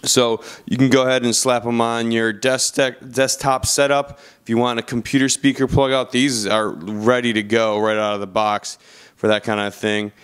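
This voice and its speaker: A man's voice: medium pitch, flat delivery, normal speed, clean tone. A man narrating a product review in an informal, conversational style, as a reviewer talking through features. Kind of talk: casual video review narration.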